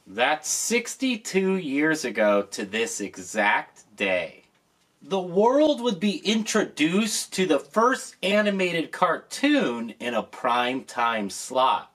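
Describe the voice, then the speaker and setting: A man's voice: on the high side, big pitch swings, takes it slowly, fairly bright tone. A man hosting a nostalgic commentary, talking about an old show with enthusiasm and emphasis. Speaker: host.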